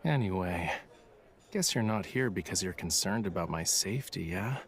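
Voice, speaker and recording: A man's voice: low-pitched, lively intonation, normal speed. A man voicing a languid, playful young artist in an English-dubbed romance game, with a nonchalant, coy way of talking. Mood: musing, coy. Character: languid, teasing young male artist with a secretive streak.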